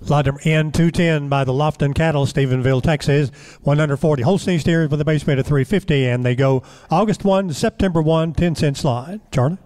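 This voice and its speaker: A man's voice: fairly high pitch, fairly quick, darkish tone. Man announcing cattle lots. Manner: plain, list-like delivery. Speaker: auction lot announcer. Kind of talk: reading lot details.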